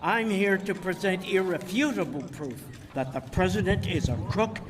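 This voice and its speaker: High-voiced man playing an indignant little whistleblower, echoing hall. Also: recorded from a distance, close to an everyday voice. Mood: grave, accusing. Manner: oratorical, declamatory, deliberate. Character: small, insignificant man turned earnest, indignant whistleblower. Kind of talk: formal speech in a game cutscene. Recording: hall reverb, faint background noise.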